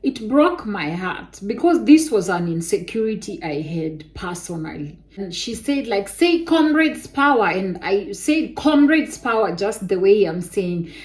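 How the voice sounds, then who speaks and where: A young woman from Kenya with a soft voice, telling viewers a personal story in a casual, animated way. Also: medium pitch, a little slow, hardly any pauses. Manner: animated testimony with Kenyan interjections like "eh" and "kai".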